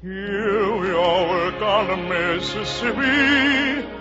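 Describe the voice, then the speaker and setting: An actor playing a weary, burdened riverside labourer, singing in a classical theatrical style on a 1950s radio show. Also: male voice, high-pitched, slow. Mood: weary, mournful. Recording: muffled vintage radio recording. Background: loud orchestral music.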